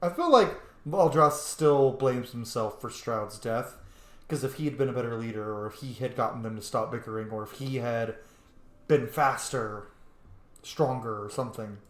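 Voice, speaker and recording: A person doing casual, gushing commentary while roleplaying through a video game, chatty and quick to laugh. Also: medium pitch, roomy sound.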